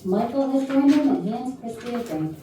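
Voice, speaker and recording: A woman's voice: fairly high pitch, lively intonation, normal speed. A woman reading stage directions as scripted narration at a staged reading. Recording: very echoey, noisy distant rehearsal.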